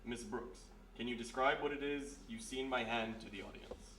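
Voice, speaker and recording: A man's voice: medium pitch, normal speed, fairly bright tone, natural voice. A man playing a grandiose, florid stage magician of the occult in solemn old-time radio mystery style. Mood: grandiose, mysterious. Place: live performance in a roomy historic house. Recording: echoey live stage, distant mic, hiss.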